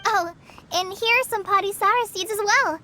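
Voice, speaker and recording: A woman's voice: extremely high pitch, moderate pace, bright, forceful tone, slight background noise. A woman playing a shy, grateful young girl apprentice in a video game, sweet and sincere. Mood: warm, sincere. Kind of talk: scripted game dialogue acting.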